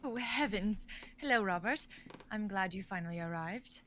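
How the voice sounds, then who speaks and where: A woman's voice: mid-range voice, lively intonation, moderate pace, fairly bright tone, close to an everyday voice. A woman playing a coy posh Victorian heiress. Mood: gushing. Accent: put-on posh English. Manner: melodramatic, breathy and coy. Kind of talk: live-streamed script reading.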